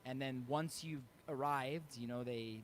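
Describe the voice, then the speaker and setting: A man's voice: medium pitch, unhurried. A man with a mid-range voice speaks casually as an interviewed musician. There is faint ambient noise behind him. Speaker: touring musician being interviewed. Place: live online interview.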